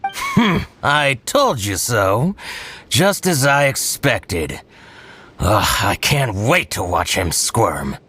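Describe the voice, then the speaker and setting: An actor voicing a spiteful merchant in a video game, scornful and gloating. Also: male voice, mid-range voice, wildly swooping pitch, slow pace, pushed and bright, slightly rough, exaggerated voice. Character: spiteful middle-aged male merchant, grudging. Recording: game audio with music.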